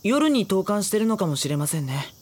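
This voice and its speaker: A woman voicing a polite, reluctant high school boy in an anime audio drama, deadpan and sardonic. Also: brisk, noisy background, lightly acted. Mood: mild, reluctant. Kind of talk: Japanese anime audio drama acting and narration.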